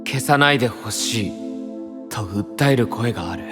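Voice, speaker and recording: A man's voice: mid-range voice, expressive, moderate pace, in a large hall, over music, natural voice. An actor playing a grave, secretive and resolute young male knight in a Japanese fantasy voice drama, solemn and intense. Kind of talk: Japanese anime-style voice drama.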